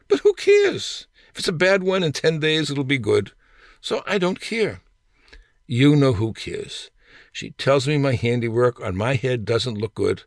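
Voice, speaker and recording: An older man reads a humorous personal story in a very dry, close recording. His voice sits at a middling pitch for a man. He is wry and deliberate, putting clear emphasis on phrases as a storyteller would. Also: no echo. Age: 60s or older. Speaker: storyteller reading own essay. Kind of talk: humorous personal essay read aloud.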